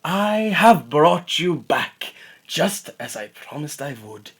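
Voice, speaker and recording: A war-crazed major delivers a grandiose cartoon villain's speech in a high-pitched, raspy voice. He savours each phrase slowly and theatrically, with wildly swooping intonation. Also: very animated, a bit bright, full character voice. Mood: grand, menacing. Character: gleefully war-mad Nazi major, bombastic cartoon villain. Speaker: amateur voice actor. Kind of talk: theatrical anime villain monologue. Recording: amateur recording on a poor mic.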